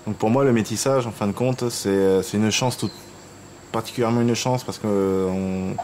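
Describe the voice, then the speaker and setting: A man's voice: lowish voice, moderate pace, a little mellow. A man from French Guiana giving a spontaneous interview in a reflective, hesitant way. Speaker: engineer interviewee. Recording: faint noise with insect sounds.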